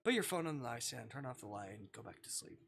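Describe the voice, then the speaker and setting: A livestreamer reading a visual novel aloud and commenting on it, expressive and sweary, with dramatic delivery. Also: mid-range voice, quick pace, gravelly, slight background noise. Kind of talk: livestream gameplay commentary mixed with read-aloud narration. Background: music.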